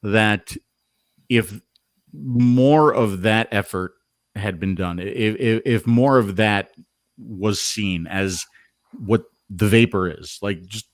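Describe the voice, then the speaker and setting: A man talking frankly as a podcast guest, halting and repeating words as he thinks. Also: lowish voice, no echo, clean studio sound. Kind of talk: casual chat.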